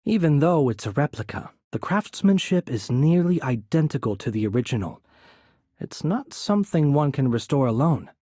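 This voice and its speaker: A man playing a courteous, composed young noble mage in a video game, soft-spoken and slightly breathy, with polite, measured, formal phrasing. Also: a little high, very animated, no echo, clean studio sound. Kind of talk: video game character voice acting.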